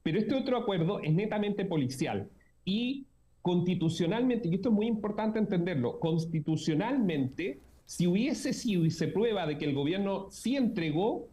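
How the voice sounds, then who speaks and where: A man's voice: high-pitched, animated, moderate pace, warm tone. A Chilean man with a Chilean Spanish accent, a journalist speaking as a guest in a political interview, earnest and emphatic, repeating key words.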